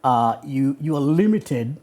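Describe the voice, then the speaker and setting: A man's voice: mid-range voice, lively intonation, measured pace, a little mellow. A man from Tanzania, a guest on a studio panel, talking thoughtfully with frequent restarts and repeated words. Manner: hesitant, restarts and repeats words while building a point.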